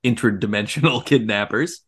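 A man's voice: lowish voice, a little fast, fairly bright tone, husky. A man co-hosting a podcast, chatting casually about a film in a jokey, enthusiastic way. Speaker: podcast co-host.

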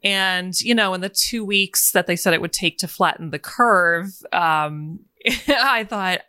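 A woman with a calming voice, a business coach chatting as a guest in an interview and laughing between thoughts. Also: lowish voice, unhurried, clear, resonant tone, dry recording. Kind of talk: casual interview chat.

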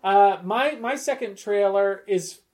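A man presenting trailers in casual podcast chat, halting and circling back in mid-sentence. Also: high-pitched, a little mellow.

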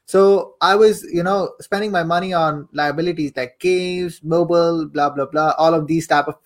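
A man's voice: on the high side, steady tone, normal speed. A young man telling his story casually as an interview guest, chatty and repeating phrases for emphasis. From Saudi Arabia, later Pakistan. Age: young adult. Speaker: podcast guest, affiliate marketer and coach.

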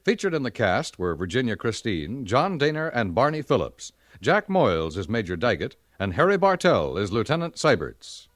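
A man's voice: mid-range voice, sing-song, moderate pace, few pauses, no echo, clean studio sound. An American man working as a radio announcer, reading scripted copy in 1950s broadcast style. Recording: vintage radio broadcast with music.

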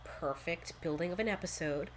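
A woman's voice: lowish voice, moderate pace, slight background noise, rough recording. A young woman co-hosting a podcast, recapping a show in casual chat, full of "like" fillers. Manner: chatty, many "like" fillers, quotes characters in lively voices. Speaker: podcast co-host.

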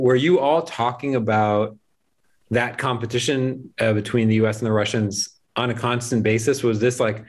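A man interviewing his guest in a casual, conversational way. His questions wander and circle back on themselves, and they are padded with fillers. His pitch sits in the middle range. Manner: loose, searching questions full of fillers. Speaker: interviewer, host.

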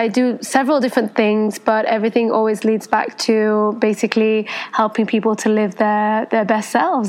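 A woman in her thirties, born in England, recounts her life on a podcast in a flowing, earnest way, circling back and restarting her sentences. Her voice sits a touch higher than most women's. She speaks at home in a quiet setting. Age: 30s. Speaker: podcast guest, life coach. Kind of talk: casual interview. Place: her home in Bali at sunset.

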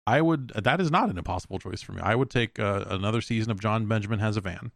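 Low-voiced man, dry close sound. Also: fast talker, vocal fry, no room sound. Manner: rambling, profane, opinionated. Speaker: podcast host answering listener mail. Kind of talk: casual solo podcast chat.

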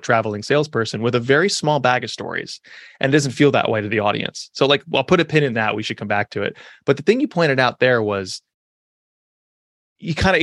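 A quick-talking man in his thirties explains his ideas with emphatic energy, circling back and restarting often. The mid-pitched voice sounds close and dry, with no room around it. It is a relaxed podcast conversation. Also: no echo. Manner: fast, emphatic, lots of restarts and "like". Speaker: podcast guest, author and speaker. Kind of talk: conversational interview.

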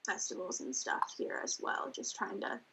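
A young woman from Nebraska, 19, with a crisp and bright voice. She talks eagerly and strings one detail onto the next. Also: rough recording. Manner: eager and fast-flowing, piling up details. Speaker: student photographer guest. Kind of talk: casual self-introduction.

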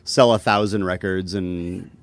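Male musician guest, lower voice, relaxed and self-deprecating, conversational interview delivery with hesitant phrasing. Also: a little slow, dry recording. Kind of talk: casual interview chat.

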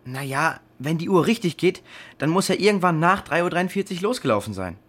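Keen, higher-voiced boy detective. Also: expressive, fairly quick, a bit bright. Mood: keen, explaining. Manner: earnest, puzzling aloud. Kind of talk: children's audio drama acting.

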